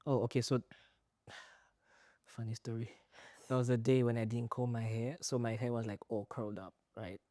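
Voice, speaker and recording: A man's voice: mid-range voice, level tone, normal speed, long pauses, dark tone, dry recording, clean studio sound. A man from Zimbabwe, a guest actor on a podcast, telling stories in a rambling, hesitant, amused way. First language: a Zimbabwean language. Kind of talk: casual podcast chat.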